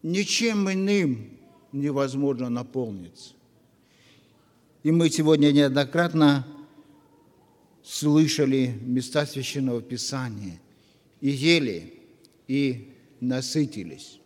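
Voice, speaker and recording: A man preaching a sermon to his congregation, earnest and full of pauses. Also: medium pitch, animated. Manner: slow, earnest, with long pauses. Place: church hall. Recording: long reverb of a church hall.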